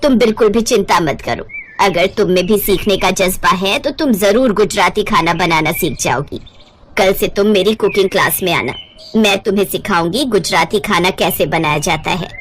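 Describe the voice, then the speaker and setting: A woman voicing a kindly elderly auntie in an animated storybook tale, talkative, affectionate and reassuring. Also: medium pitch, moderate pace, a little hiss or hum, lightly acted. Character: kindly elderly Gujarati woman, chatty cooking teacher.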